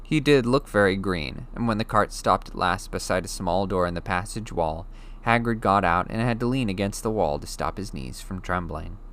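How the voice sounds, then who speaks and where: A man reading a novel chapter aloud as an audiobook narrator, with steady, clear storytelling. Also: sits low, moderate pace. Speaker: audiobook reader.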